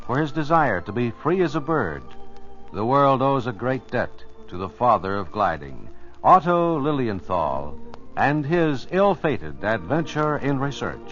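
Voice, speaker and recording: Old-time radio announcer guy, lively pitch, formal and dramatic, muffled recording. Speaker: narrator and announcer. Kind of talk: 1950s radio scripted narration.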